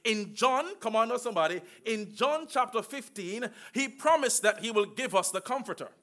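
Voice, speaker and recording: High, bright-voiced male preacher. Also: expressive. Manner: emphatic preaching with call-and-response, sudden slow drawn-out phrases and shouts. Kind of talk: sermon.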